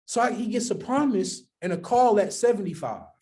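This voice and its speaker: A man preaching a sermon, his voice sitting fairly high for a man. He reads a scripture passage aloud, then unpacks it in loose, conversational talk, circling back to repeat his main points. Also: animated, moderate pace, a little mellow.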